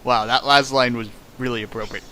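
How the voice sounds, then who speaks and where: A man's voice: mid-range voice, steady tone, moderate pace, bright, forceful tone, slight background noise. A man reading a fan fic aloud for a stream, breaking off into sarcastic comments and sudden sing-song bits. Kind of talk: comedic dramatic reading with riffing.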